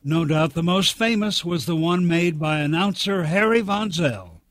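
A man's voice: on the high side, lively intonation, unhurried, a bit bright. A man hosting a radio program with scripted narration introducing archive clips. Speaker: radio host.

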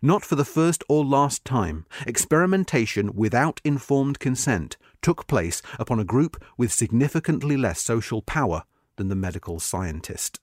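A man narrates a history article in a measured, expressive read. His intonation swings widely from phrase to phrase, stressing key words. The recording is dry and close, with no room sound. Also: medium pitch, no room sound. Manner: measured, expressive reading with marked emphasis. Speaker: narrator reading a magazine article. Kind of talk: scripted narration.

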